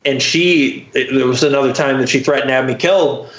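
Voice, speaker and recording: A young American man telling personal stories casually as a podcast guest, in a halting, candid, profane way full of fillers. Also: medium pitch, fairly bright tone, reverberant, lo-fi sound.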